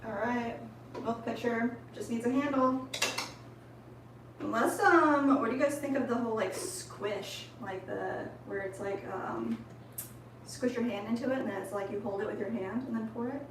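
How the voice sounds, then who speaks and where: A woman's voice: a little high, moderate pace. A woman co-hosting a casual pottery livestream, thinking aloud with plenty of fillers as she works. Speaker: ceramics livestream co-host. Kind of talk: casual livestream chat while making pottery. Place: pottery studio. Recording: echoey room, mic at a distance, noisy.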